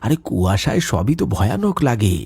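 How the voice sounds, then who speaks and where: A man's voice: mid-range voice, very animated, normal speed, creaky, darkish tone, husky, no room sound, clean studio sound, exaggerated voice. A man playing a scoffing, fearless young fisherman. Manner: dismissive, mocking. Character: skeptical young village fisherman, scoffing and bold. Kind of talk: cartoon voice acting.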